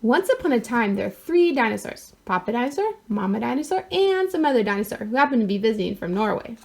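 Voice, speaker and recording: High-voiced woman reading a children's story. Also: big pitch swings, fast, vocal fry. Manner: wry, mock-innocent asides. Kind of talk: expressive children's picture-book read-aloud.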